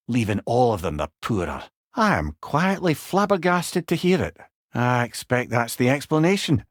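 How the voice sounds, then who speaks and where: A man's voice: medium pitch, dry recording, studio quality. A man voicing a witty, wise old storyteller in a video game, with a Scottish accent and an eloquent, wry, conversational manner. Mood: grave, reflective, then wry, musing. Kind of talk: dramatic video game dialogue.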